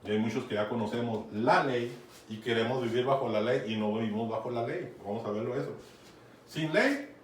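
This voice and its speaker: A man with a Mexican accent preaching a conversational Bible sermon, often checking in with his listeners. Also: mid-range voice. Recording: echoey room, from far off, faint noise.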